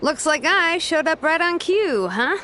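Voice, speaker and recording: Cool, high-voiced warrior ally. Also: female voice, expressive, measured pace, heavy background noise. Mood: cool, assured. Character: cool, self-assured young female warrior ally. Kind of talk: video game voice acting.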